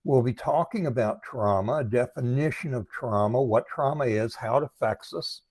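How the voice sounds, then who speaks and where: A man hosting a casual livestream, talking informally and haltingly straight to viewers, with a voice strained by throat trouble. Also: medium pitch, a little mellow, husky, no echo. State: struggling with throat and voice problems. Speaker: live stream host, recovery coach.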